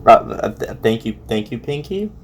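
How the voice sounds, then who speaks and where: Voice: medium pitch, very animated, normal speed, slight background noise. Someone giving casual, exclamatory reaction commentary as an online video host, often repeating words for emphasis.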